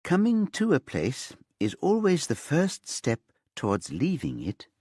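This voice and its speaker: A male narrator plays a calm, steady man in a thriller audiobook. He speaks gently and deliberately to someone troubled, in a very dry recording. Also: fairly high pitch, dry recording, pitched above the performer's own voice, full character voice. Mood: calm, reassuring. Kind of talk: audiobook character dialogue.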